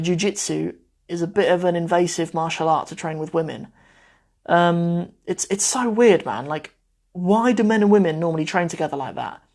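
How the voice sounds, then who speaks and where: Chatty young man on a phone livestream. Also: a little high. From Cornwall, England. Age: 20s. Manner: chatty and meandering, often trailing off softly. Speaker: Arabic teacher hosting a live Q&A. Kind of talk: casual livestream chat. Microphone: phone mic.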